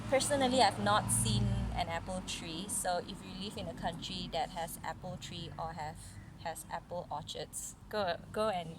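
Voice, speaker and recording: A woman reading a picture book aloud to a young child, with gentle, encouraging, chatty asides to explain the pictures. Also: medium pitch. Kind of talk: casual read-aloud storytelling with chatty asides. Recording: noisy recording with vehicle-like background hum.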